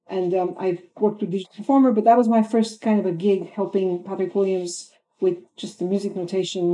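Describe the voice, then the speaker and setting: A woman from Bulgaria in her fifties talks through her career in an interview. Her voice is very dark and mellow, and she pauses often with ums and uhs as she gathers her thoughts. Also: mid-range voice, low-quality recording. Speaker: film composer guest. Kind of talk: podcast interview answers.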